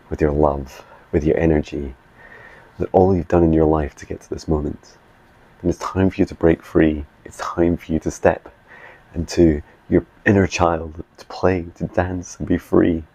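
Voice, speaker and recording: A man, a psychic mentor, giving soft meditation messages and rambling video diary updates full of ums. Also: low voice. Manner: soft, slow affirmations; chatty with many ums in updates.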